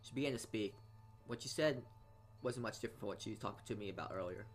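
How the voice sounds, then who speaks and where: A man reading a visual novel's narration aloud and casually commenting, often stumbling over words. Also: on the low side. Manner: halting reader, often stumbles and repeats words. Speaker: video game let's player. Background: game music.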